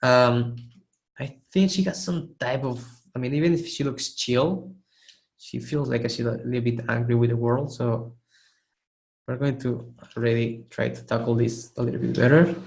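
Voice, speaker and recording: A man teaching a live online art webinar, hoarse and losing his voice, who keeps clearing his throat and gives casual, encouraging feedback with frequent restarts. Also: mid-range voice, moderate pace, darkish tone, low-quality recording. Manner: casual, encouraging, restarts phrases while demonstrating. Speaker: art instructor giving feedback. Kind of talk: live webinar critique of 3D sculpts.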